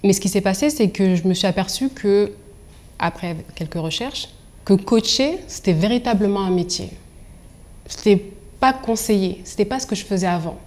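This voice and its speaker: Young woman, professional coach, interview storytelling, expressive, articulate, medium pitch. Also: normal speed. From Angola and Congo. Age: young adult.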